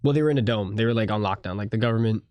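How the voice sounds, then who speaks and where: A man's voice: on the low side, very fast, smooth, no echo, muffled recording. A man co-hosting a comedy podcast, teasing with dry humor and chiming in with short agreements. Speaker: podcast co-host. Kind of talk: casual podcast chat.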